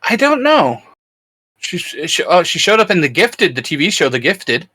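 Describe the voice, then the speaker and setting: A man with a bright, somewhat high voice chats casually as a co-host. He thinks aloud and checks himself as he goes. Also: very animated, moderate pace, husky. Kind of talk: casual chat.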